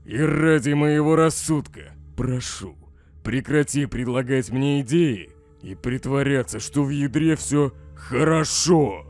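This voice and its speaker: A man's voice: mid-range voice, measured pace, darkish tone, close to an everyday voice. A man voicing a snarky, irritable skeleton scientist in a comic dub, sardonic and dismissive. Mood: irritated. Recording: faint music behind the voice.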